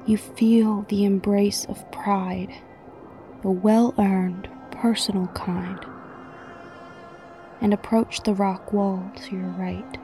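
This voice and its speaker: A woman narrating a scripted guided meditation in a soothing, measured way with frequent pauses, her voice soft and breathy, often near a whisper. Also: medium pitch, level tone. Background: music.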